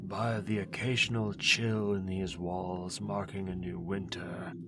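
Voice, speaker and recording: Man doing an ancient bound necromancer, very deep, slow, theatrical, music behind. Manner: theatrical, pompous and wheedling. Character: ancient bound male necromancer, pompous and wheedling. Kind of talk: ASMR audio roleplay.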